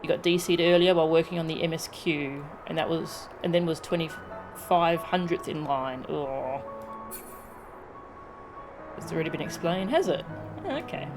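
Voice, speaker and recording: Low-voiced woman streaming over game music. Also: moderate pace, long pauses. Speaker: game streamer reading dialogue aloud and chatting with viewers. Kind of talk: casual livestream chat. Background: video game music.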